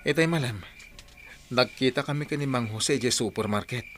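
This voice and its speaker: A man's voice: mid-range voice, moderate pace, fairly bright tone, lightly acted. An actor playing a hardworking, devoted village father in an Ilocano-accented radio drama. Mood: upbeat. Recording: music behind the voice.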